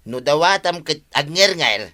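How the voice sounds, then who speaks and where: A man's voice: fairly high pitch, expressive, measured pace, sharp tone. A man with an Ilocano accent playing a sociable, pleading village husband in a radio comedy drama, talking emphatically. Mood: explaining, insistent.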